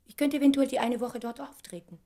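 A woman's voice: high voice, quick pace, warm tone. A woman acting an effusive, ambitious stage actress who is dreamily in love, in a theatrical German radio drama style. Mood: excited, eager. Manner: theatrical, dreamy, swinging from breathy murmurs to exclamations.

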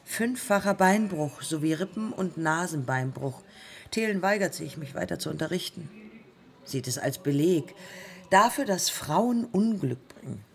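An actress playing a defiant, haunted early woman pilot in a German radio play, speaking in terse, deliberate fragments. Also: lowish voice, lively intonation, creaky. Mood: matter-of-fact, resolute. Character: pioneer woman aviator, haunted, defiant, morphine-addicted.

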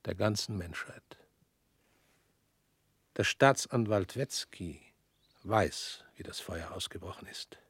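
An actor in a radio play playing a weary, guilt-laden former party official who talks in a measured, brooding way and often drops to a whisper. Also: male voice, low voice, expressive, fairly bright tone, husky, no echo, heavily acted.

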